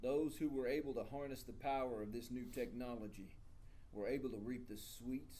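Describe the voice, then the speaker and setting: A man's voice: medium pitch, normal speed, mellow, slight background noise, natural voice. A man playing an intense, profane DJ mentor who speaks with emphatic, solemn grandiosity in a comedy table read. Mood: solemn, grandiose.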